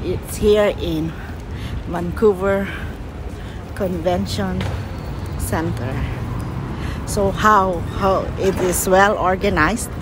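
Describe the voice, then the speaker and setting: Slow-talking earnest woman vlogging outdoors. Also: fairly low pitch, fairly even intonation. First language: Filipino. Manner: earnest, enthusiastic, repeats words for emphasis. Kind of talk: walking vlog commentary. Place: outdoors on a busy city waterfront plaza. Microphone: handheld phone camera, speaker off screen. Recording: outdoor phone recording with street noise.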